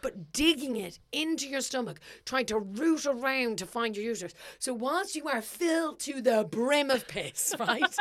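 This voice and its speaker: A young woman co-hosting a podcast, chatting casually and telling stories, swearing freely, her voice sometimes a little gravelly. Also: sits high. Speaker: podcast co-host. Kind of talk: casual chat.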